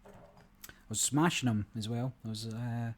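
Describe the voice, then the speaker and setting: A man hosting a gaming channel, talking through a card game in a relaxed, rambling way, his voice a little croaky. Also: medium pitch, moderate pace. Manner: relaxed, rambling, many fillers. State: slightly croaky voice. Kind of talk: casual gameplay commentary.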